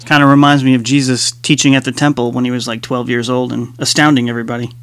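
A man's voice: medium pitch, little pitch movement, fast talker, a bit bright, clear, resonant tone. A man hosting a radio interview, who often chuckles and nods along with short affirmations. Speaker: radio show host.

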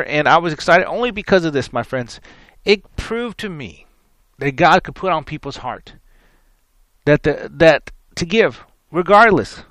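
Hoarse, raspy male radio preacher. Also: high-pitched, fairly bright tone, no echo. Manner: rambling, repeats words, folksy preaching. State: hoarse from many interviews. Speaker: radio show host and minister. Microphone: studio microphone.

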